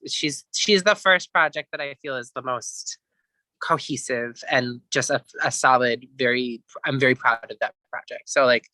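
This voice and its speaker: A man's voice: a little high, bright, forceful tone, dry recording, low-quality recording. A man answering casually as a guest musician in an interview, talking in a rambling, reflective way.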